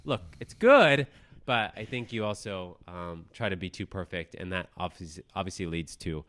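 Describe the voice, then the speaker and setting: A man's voice: deep voice, expressive, a bit bright. A man in his thirties from Colorado, a guest who speaks nervously and hesitantly about his past. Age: 30s. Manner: nervous, hesitant, halting with fillers. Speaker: guest, news manager. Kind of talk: personal interview.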